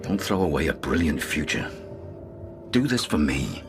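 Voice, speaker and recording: A man's voice: very deep voice, very animated, normal speed, exaggerated voice. A man playing a firm but protective boss in a radio drama, earnest and persuasive. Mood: exasperated, concerned. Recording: music underneath.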